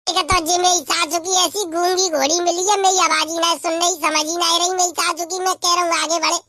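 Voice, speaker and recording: An anxious young pigeon groom in a comic cartoon. The voice is extremely high, squeaky and sharp, artificially raised. It complains and pleads with a western Uttar Pradesh accent. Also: male voice, level tone, brisk, no room sound. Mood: exasperated. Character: whiny, anxious young male pigeon bridegroom.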